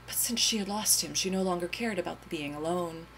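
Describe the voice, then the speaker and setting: A woman's voice: lowish voice, a little fast, fairly bright tone, slight background noise, poor audio. A woman giving a melodramatic dramatic reading of overwrought prose, with a theatrical, mock-tragic and emphatic delivery. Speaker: reader.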